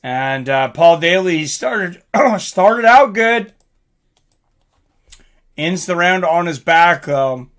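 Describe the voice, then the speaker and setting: Male livestream fight commentator, slightly high and very bright voice, informal and conversational. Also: expressive, slow, pauses often. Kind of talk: casual live fight commentary with chat asides.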